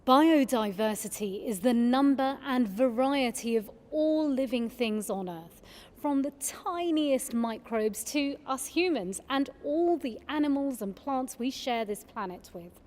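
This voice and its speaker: Female event host, polished formal scripted read, higher-than-average pitch, big reverberant hall sound. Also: expressive, measured pace, darkish tone. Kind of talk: scripted presenting and narration.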